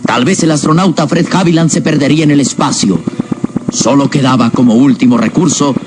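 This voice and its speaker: A man's voice: fairly high pitch, steady tone, fairly quick, background noise. A man narrating a scripted radio serial in a grave, dramatic, suspenseful manner. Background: loud dramatic music.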